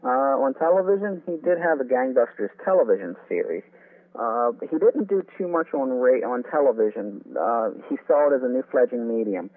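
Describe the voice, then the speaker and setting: A man's voice: mid-range voice, expressive, normal speed. A man being interviewed as an author, explaining radio history in a conversational way. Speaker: interview guest, author on old-time radio. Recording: phone-like narrow, tinny sound.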